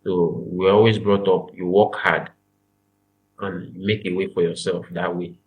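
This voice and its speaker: Young man, Nigerian accent, low-pitched, earnest, repeats himself, podcast guest. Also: little pitch movement, a little slow, phone-quality sound. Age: young adult. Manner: earnest, repeats phrases, emphatic. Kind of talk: casual interview chat.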